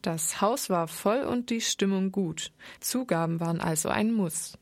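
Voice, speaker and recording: A woman reporter reading scripted narration between interview clips in a crisp, matter-of-fact way. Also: mid-range voice, unhurried, dry recording.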